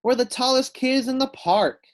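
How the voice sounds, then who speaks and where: A man voicing a caring, excitable young schoolgirl in a children's storybook read-aloud. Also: very high voice, moderate pace, pitched above the performer's own voice. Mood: triumphant.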